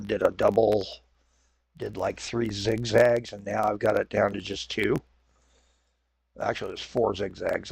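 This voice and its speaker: Stuffy-nosed guy with a cold narrating his game, low gravelly voice, kinda husky, rambles and corrects himself a lot. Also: no room sound. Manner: rambling, self-correcting, apologetic. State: raw throat. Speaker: gaming channel host. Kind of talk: casual let's-play commentary.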